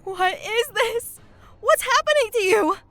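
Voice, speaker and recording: A woman's voice: very high voice, lively intonation, unhurried, fairly bright tone, full character voice. An actress voicing a young hotel worker who is horrified and shaken as she watches her friend die, for a fan audio drama. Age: young adult. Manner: panicked, disbelieving questions.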